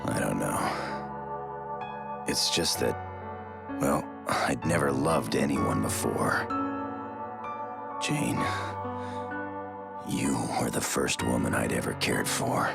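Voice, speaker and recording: Gravelly, very deep tough guy in grief. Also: slow pace, pauses often, loud music behind the voice, heavily acted. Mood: guarded, tender. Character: gruff tough man hiding deep grief and love. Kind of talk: dramatic video game cutscene acting.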